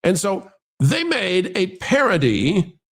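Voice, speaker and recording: A man's voice: sits high, huge pitch swings, takes it slowly, husky. A man hosting an opinionated talk show, speaking emphatically and repeating himself for effect. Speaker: host of an entertainment news talk show. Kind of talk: opinionated commentary to co-hosts and audience.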